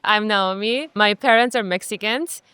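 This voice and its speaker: Young female design student from Japan, crystal-clear voice, casual, dry sound. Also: mid-range voice, normal speed, sharp tone, dry recording. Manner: uses "like" constantly, searches for words. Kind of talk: casual explanation of own work.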